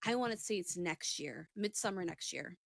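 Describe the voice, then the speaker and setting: A woman's voice: on the low side, animated, normal speed, vocal fry, no echo. Woman talking tentatively, close dry sound. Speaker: psychic reader on a talk show. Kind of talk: casual intuitive reading in conversation.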